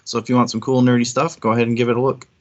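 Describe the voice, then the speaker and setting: A man's voice: mid-range voice, level tone, very fast, a little mellow, dull audio. A man co-hosting a book discussion stream, talking informally and thinking aloud as he goes. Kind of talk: casual discussion with some read-out intro.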